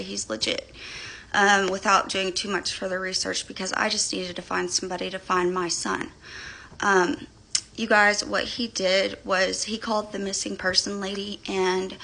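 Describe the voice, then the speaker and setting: A woman's voice: mid-range voice, creaky, pushed and bright, poor audio. A middle-aged woman vlogger giving a casual, rambling, indignant update to her followers, telling it in a gossipy way.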